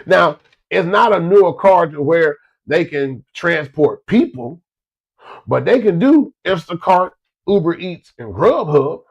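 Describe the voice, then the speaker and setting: Stuffed-up man, gravelly and high. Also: expressive, measured pace, muffled recording. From Florida. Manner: folksy, repetitive, addresses listeners as y'all. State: stuffed-up nose from a cold. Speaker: host giving business advice. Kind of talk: casual motivational talk to listeners.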